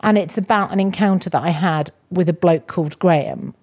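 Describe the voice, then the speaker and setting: A woman's voice: fairly low pitch, a little fast, a little mellow. A middle-aged woman, a comedian guest talking casually with wry self-mockery. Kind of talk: casual, chatty interview.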